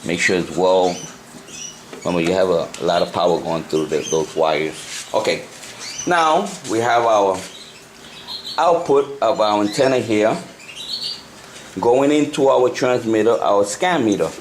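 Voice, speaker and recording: A man giving an unscripted hands-on tutorial, talking haltingly and repeating himself as he works through the steps. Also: on the low side. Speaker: hobbyist presenter of a CB radio tutorial. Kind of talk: unscripted hands-on how-to demonstration. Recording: noisy amateur home video.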